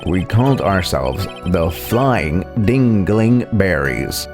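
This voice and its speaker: An earnest young circus acrobat, voiced by a man, speaking low with a creaky edge. He tells his story gently and sincerely, as a video game character with music playing behind. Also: big pitch swings, slow, exaggerated voice. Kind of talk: reading game dialogue in character.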